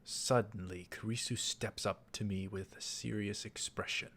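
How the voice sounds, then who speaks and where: A man's voice: lowish voice, fairly even intonation, moderate pace, a bit bright, a little hiss or hum. A man reading a visual novel aloud as a let's player, dramatizing the narration. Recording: music behind the voice. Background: game music.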